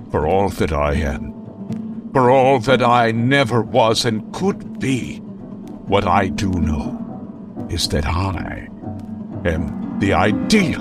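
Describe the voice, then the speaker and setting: A man playing a grandiose, righteous comic-book vigilante in an old-time radio drama, speaking with pompous melodrama. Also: medium pitch. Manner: pompous, melodramatic, drawn-out delivery.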